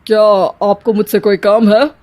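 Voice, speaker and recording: A man's voice: high-pitched, level tone, moderate pace, warm tone, close to an everyday voice. A male actor playing a flirtatious, vain and irritable retired husband in his fifties in a comedy family story, with theatrical exclamations. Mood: flirty, vain. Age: middle-aged.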